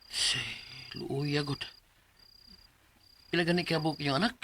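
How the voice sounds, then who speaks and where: A man's voice: on the high side, fairly even intonation, measured pace, pushed and bright, a little hiss or hum. A man acting a generous, sympathetic workmate in a Bisaya radio drama, talking colloquially and insistently with a Filipino Bisaya accent.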